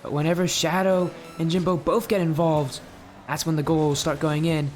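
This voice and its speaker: A young man with a high-pitched voice casting an esports match live, chatty and rambling, answering chat between plays. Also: little pitch movement, moderate pace, faint music behind. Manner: chatty, rambling, replies to chat mid-play. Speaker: esports caster and streamer. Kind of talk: live game commentary.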